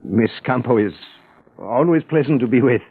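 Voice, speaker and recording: An actor playing a smug, self-satisfied arsonist in an old radio crime drama, speaking in a smooth, coaxing way. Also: male voice, medium pitch, lively intonation, moderate pace. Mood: assured. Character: scheming middle-aged male arsonist, smug perfectionist. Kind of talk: 1940s radio acting. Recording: tinny old radio broadcast.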